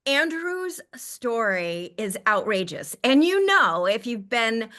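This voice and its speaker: Bright-voiced woman hosting a podcast. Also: a little high, sing-song, slow pace. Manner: emphatic, playful, effusive. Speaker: podcast host. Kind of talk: conversational interview with storytelling flourishes.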